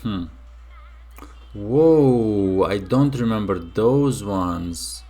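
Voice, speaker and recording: A man's voice: lowish voice, animated, extremely slow, warm tone, soft background music. A young man from Romania hosting a casual reaction video, musing aloud with many fillers and restarts.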